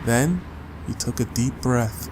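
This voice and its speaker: A man's voice: medium pitch, takes it slowly, background noise. A man reading a scripted short story as a dramatic audiobook narrator. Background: music.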